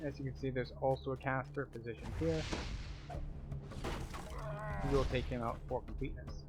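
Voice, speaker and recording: A man's voice: mid-range voice, fairly even intonation, normal speed, background noise. A man giving methodical, instructional commentary as the tour guide of a video game walkthrough. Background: game music.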